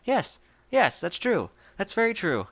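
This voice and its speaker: A man voicing a frightened pony forcing shrill, fake-bright cheer. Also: huge pitch swings, unhurried, full character voice.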